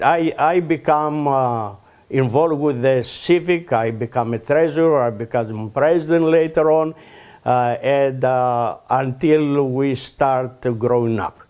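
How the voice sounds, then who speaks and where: An older man from Greece with a Greek accent, speaking halting broken English in an oral history interview, with many pauses and fillers. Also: medium pitch, a little mellow. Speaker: interview guest.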